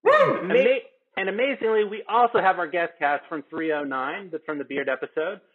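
A man's voice: high voice, sing-song, normal speed, noisy background. A man co-hosting, reading out scripted introductions and narration. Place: remote video call. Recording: tinny, phone-like video call audio.

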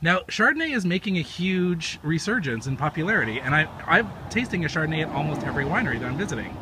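A man in his forties hosting a casual winery interview and tasting on an outdoor patio, upbeat and quick to say fantastic. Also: on the high side, sing-song, noisy background. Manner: upbeat, says fantastic a lot. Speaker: wine blog host.

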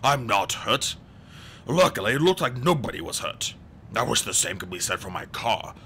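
A man voicing a stern, pompous gentleman who admonishes people fussily in an audiobook story. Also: lowish voice, big pitch swings, normal speed, slight background noise, heavily acted. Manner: pompous, admonishing, throat-clearing. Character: fussy, stern, pompous adult gentleman, easily offended.

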